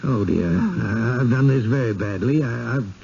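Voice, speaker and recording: An actor playing a genial, evasive older country widower, hesitant and solicitous, in 1970s radio drama style. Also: male voice, medium pitch, normal speed, gravelly, warm tone, breathy edge. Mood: anxious, evasive. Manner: hesitant, chuckling, folksy and solicitous. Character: genial, evasive small-town widower, fussy country host. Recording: dull, noisy old radio broadcast.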